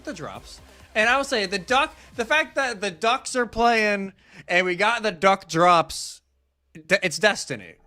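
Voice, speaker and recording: Bright, higher-pitched man casting esports. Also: expressive, unhurried. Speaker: esports broadcast caster. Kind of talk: casual live match preview commentary. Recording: music playing behind. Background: background music.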